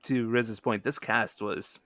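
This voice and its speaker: A man's voice: on the low side, fairly even intonation, slow, pushed and bright, no room sound, studio quality. A man on a podcast panel, discussing a film in a casual, conversational way.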